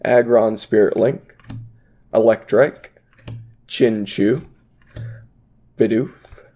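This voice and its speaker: A man's voice: on the low side, fairly even intonation, very slow, warm tone. A young man with a stuffy voice from a cold, chatting casually and rambling as he opens trading card packs at his desk. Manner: rambling, filler-heavy, laid-back. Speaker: YouTube card-opening vlogger. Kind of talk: casual unboxing chat to viewers. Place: home desk, indoors.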